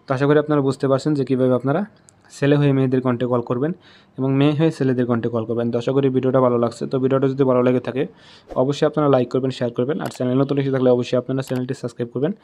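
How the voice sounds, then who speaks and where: Man, Bangladeshi accent, monotone pitch, soft dark timbre, tutorial host, step-by-step instructions. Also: racing. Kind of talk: step-by-step app tutorial narration.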